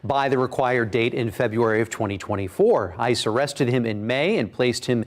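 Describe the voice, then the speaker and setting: A male news reporter delivering a clip in a measured, formal style. His pitch moves in a lively, animated way while he stays composed.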